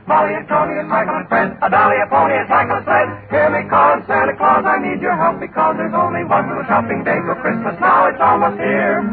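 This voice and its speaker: Male singers in a vocal group crooning a bouncy, playful 1940s close-harmony novelty song. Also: very high-pitched. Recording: scratchy narrow 1940s radio broadcast with band.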